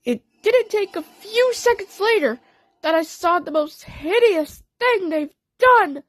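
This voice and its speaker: A woman's voice: very high voice, expressive, takes it slowly, fairly bright tone, no echo. A woman narrating a story dramatically in the first person, as in an audio play. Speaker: narrator and voice actor.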